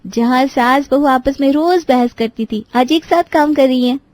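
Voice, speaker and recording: A woman acting a high-pitched young sister-in-law. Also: moderate pace, darkish tone, no echo, lightly acted. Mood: pleased, teasing. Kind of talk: Hindi moral-story cartoon acting.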